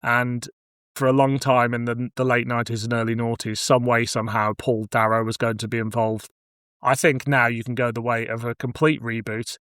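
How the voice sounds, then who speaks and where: Male podcast host, mid-pitched, conversational and considered, recorded dry. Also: little pitch movement, normal speed, a bit bright, clean tone, no echo. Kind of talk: casual discussion of cult TV and audio drama.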